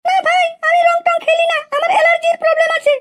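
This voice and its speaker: Whiny cartoon housewife: piercingly high, flat intonation, quick protesting delivery. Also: female voice. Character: squeaky, protesting village housewife. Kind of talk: amateur comedy cartoon voice. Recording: loud noise with clicks.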